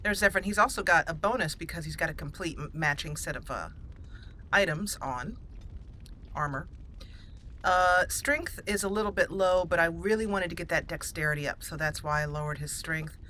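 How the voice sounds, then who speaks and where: A woman's voice: on the low side, normal speed, pushed and bright, slight background noise. A woman hosting a gaming channel, giving casual, chatty gameplay commentary and explaining as she goes.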